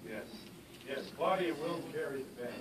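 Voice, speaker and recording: A man's voice: on the high side, lively intonation, moderate pace. A man moderating a question session informally, with a wry, jokey way of calling on people. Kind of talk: informal hosting, calling on audience members. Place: live public question-and-answer event. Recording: distant microphone, clearly noisy event recording.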